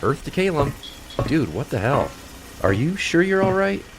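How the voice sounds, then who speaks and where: An actor playing an easygoing, cheerful rig worker and buddy in casual audio drama dialogue. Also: male voice, a little high, very animated, moderate pace, noisy background. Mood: baffled. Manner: informal, buddy-like.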